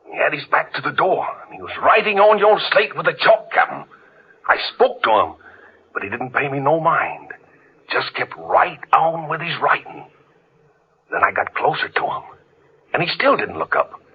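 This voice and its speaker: An actor in an old-time radio drama playing a superstitious, deferential working-class sailor, earnest and insistent in humble, folksy seafaring speech. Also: male voice, on the high side, slightly rough, full character voice. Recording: muffled lo-fi vintage radio recording.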